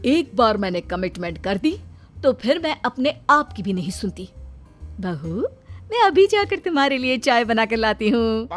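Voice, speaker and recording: A woman acting as an older, film-mad mother-in-law in a family storybook tale. She is stubborn and theatrical, speaking high and grandly over soft music. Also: very animated, moderate pace. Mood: grand, defiant. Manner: grandly delivers filmi dialogue. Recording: music under the voice.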